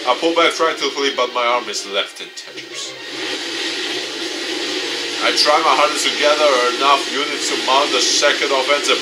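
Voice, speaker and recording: Male narrator for a game story, on the low side, expressive delivery, recorded off-mic over prominent music. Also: distant mic. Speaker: gaming YouTuber. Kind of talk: dramatic read-aloud of visual novel narration.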